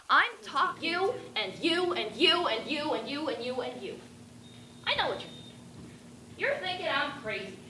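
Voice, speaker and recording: A teenage girl playing an eccentric, rambling bag lady who talks to aliens, with a raspy voice and a ranting, confiding stage-monologue delivery. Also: high-pitched, pushed and bright, exaggerated voice. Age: teens. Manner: ranting, confiding, addressing passers-by. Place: stage performance. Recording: echoey room with background noise.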